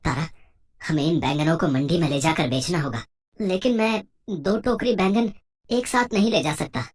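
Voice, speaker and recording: A woman voicing a humble, puzzled village farmer and husband in a moral story, with a simple, expressive delivery. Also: on the low side, moderate pace, natural voice. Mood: earnest, pleased. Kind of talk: moral story cartoon dialogue.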